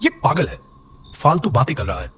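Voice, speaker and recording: A man's voice: fairly high pitch, very animated, moderate pace, slight background noise. A man narrating a scripted horror story dramatically.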